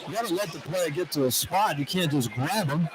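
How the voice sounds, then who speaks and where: A man's voice: on the high side, animated, brisk, over a noisy crowd. A man giving informal, opinionated color commentary on a live radio basketball broadcast in a conversational, colloquial way. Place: indoor basketball arena. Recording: radio broadcast over loud arena noise. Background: loud arena ambience.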